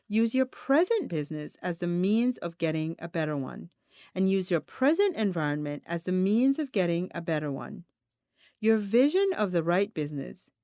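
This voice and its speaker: A woman reading a self-help audiobook in a deliberate, emphatic, instructional manner. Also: medium pitch, a little mellow, no echo, clean studio sound. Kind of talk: scripted audiobook narration of a self-help text.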